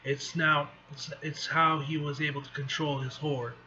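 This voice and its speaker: Man reading a grim, halting symbiote hero. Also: little pitch movement, pushed and bright. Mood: grim resolve. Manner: low-key, halting, repeating phrases. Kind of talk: amateur comic book read-aloud acting. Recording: dull lo-fi mic with faint noise.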